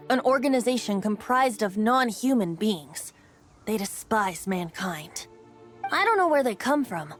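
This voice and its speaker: A woman's voice: medium pitch, natural voice. Woman voicing a lilting, theatrical boyish young bard. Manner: theatrical, coaxing, lyrical phrasing. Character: whimsical boyish young bard, secretly a wind god, playful and theatrical. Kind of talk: video game voice acting.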